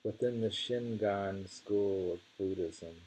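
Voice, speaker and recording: A man with a gravelly, husky voice reads translated poems aloud and muses over them informally, deliberate with the verse and tentative in his asides. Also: fairly low pitch, a little mellow, slight background noise, low-quality recording. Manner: deliberate delivery when reading, musing and tentative in asides. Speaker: reader of poetry.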